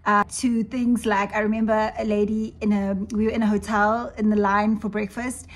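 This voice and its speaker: A woman's voice: medium pitch, a little mellow. Reflective woman raised in South Africa. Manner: conversational, reflective, uses asides like "you know" and "right". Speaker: commentator. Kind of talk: personal video essay commentary.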